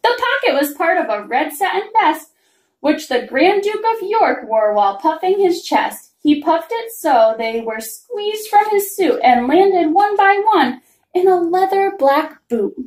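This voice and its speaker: A woman reading a rhyming children's story aloud in an expressive, singsong manner. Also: extremely high pitch, measured pace. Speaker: reader of a children's picture book. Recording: roomy, echoing room sound.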